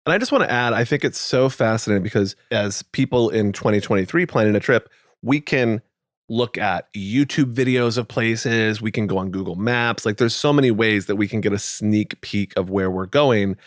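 Casting: male podcast host, lowish voice, easygoing chat, dry close sound. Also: moderate pace, no echo. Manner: relaxed, thinking aloud with fillers. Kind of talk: casual conversational chat.

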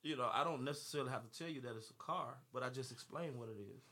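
A man's voice: medium pitch, fast talker, pushed and bright. A man in his thirties or forties, a gospel and R&B artist, talking in an interview with many restarts and easy laughter. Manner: rambling, repeats words, laughs easily. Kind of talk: panel interview conversation.

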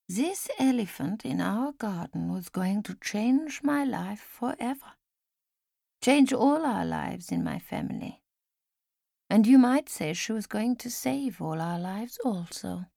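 A woman voicing a frail elderly woman who speaks formally and with gentle insistence, in an audiobook story. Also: mid-range voice, lively intonation, creaky, no room sound, clean studio sound, exaggerated voice. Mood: reminiscing. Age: older. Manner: measured, formal, gently insistent speech with few contractions.

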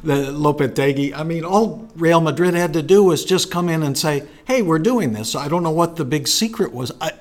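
A man's voice: on the high side, moderate pace, few pauses. A man hosting a casual sports conversation, asking leading questions and echoing his guest's words.

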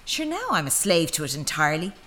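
Intense young daughter, bright-voiced. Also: female voice, low-pitched, huge pitch swings, fairly quick, a little hiss or hum, heavily acted. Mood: rapturous. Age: young adult. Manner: intense, dramatic. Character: young adult daughter, intense, reproachful, wounded.

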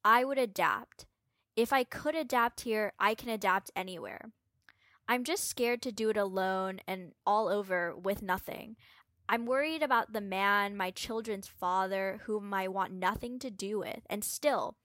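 A woman's voice: mid-range voice, unhurried, a bit bright, no echo, clean studio sound. A woman reading a prepared text aloud as a guest presenter.

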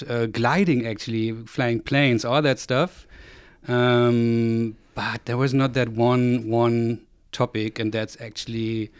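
A man's voice: mid-range voice, smooth, dry recording. A man from East Germany with a German accent, talking casually as an interview guest and telling stories. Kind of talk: casual conversational storytelling.

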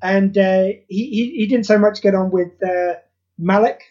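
Chatty podcast guest, lively storyteller with fillers, mid pitch, slightly muffled audio. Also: measured pace. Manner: animated, quotes others in acted voices, frequent fillers. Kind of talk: casual chat.

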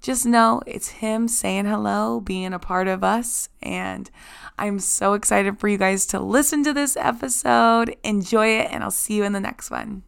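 A hoarse woman hosting a podcast, chatting effusively and casually, with lots of "like"s. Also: medium pitch, unhurried. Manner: chatty, effusive, says "like" a lot. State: hoarse, nearly lost voice. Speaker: podcast host. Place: guest's apartment.

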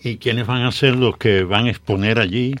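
A man's voice: medium pitch, fairly even intonation, normal speed, fairly bright tone, no room sound. A man hosting a radio interview, answering briefly and matter-of-factly. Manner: terse, matter-of-fact replies. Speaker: radio host.

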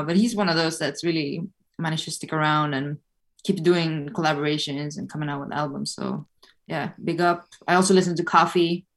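A woman chats as a podcast guest in an easygoing, thoughtful way, leaning on fillers as she thinks aloud. Her voice sits on the lower side for a woman. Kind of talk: casual interview chat.

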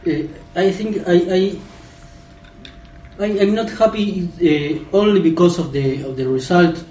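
Halting man, far from the mic. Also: high-pitched, a little mellow, roomy sound, slight background noise. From Argentina. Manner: halting, many fillers and restarts. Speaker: podcast guest. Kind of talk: casual football podcast chat.

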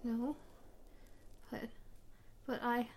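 Woman playing a high-voiced young girl. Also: steady tone, extremely slow, noisy background. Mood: frightened. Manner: short, blunt, childlike replies. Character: young girl, defiant but frightened, facing closet monster. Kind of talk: audio play horror acting.

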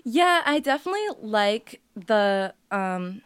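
A young woman with a smooth, clear voice talks easily as a podcast guest, leaning on "like" as she goes. Portuguese is her first language, and the recording is dry and close. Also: medium pitch, slow, dry recording. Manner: relaxed, many "like" fillers. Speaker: guest artist. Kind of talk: casual interview chat.

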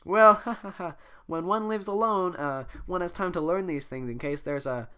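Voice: mid-range voice, normal speed, fairly bright tone, smooth, pitched above the performer's own voice, close to an everyday voice. A podcaster voicing a tough, cheerful fairy-tale princess in a lilting, cartoonish way. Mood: amused.

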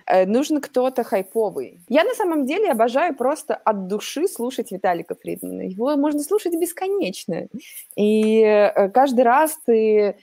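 Lively young woman from Ukraine, dry close sound. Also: on the high side, moderate pace, dry recording. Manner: lively, laughs often, mixes in slang. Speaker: podcast guest. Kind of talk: casual chat.

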